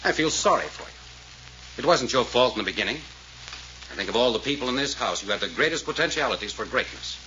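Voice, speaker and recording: An actor playing a cool-headed, authoritative male detective in 1940s radio style, speaking deliberately and dramatically. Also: mid-range voice, animated, pushed and bright. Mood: grave, measured. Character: commanding, cool-headed male detective addressing a hidden villain. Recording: dull, noisy old-time radio recording with hum.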